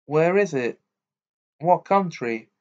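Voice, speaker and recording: A man's voice: a little high, animated, slow, darkish tone, highs cut off, voice pushed up. A man voicing a blunt, grumbling teenage schoolboy in a storybook reading. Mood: vague. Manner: blunt, grumpy.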